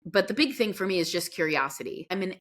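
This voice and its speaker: Low-voiced woman telling stories expressively. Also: quick pace. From grew up in Guyana and Pakistan. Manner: expressive storytelling, acts out quoted reactions. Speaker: podcast guest. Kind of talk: casual conversational interview.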